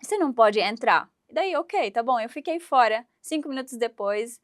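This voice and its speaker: A woman in her thirties from Syria, speaking in a fairly high voice. She tells her story with animation, acting out the voices of the people in it. The sound is dry and close. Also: normal speed, no echo. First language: Arabic. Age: 30s. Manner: animated, acts out quoted voices, laughs while telling. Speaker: guest. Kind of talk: storytelling interview.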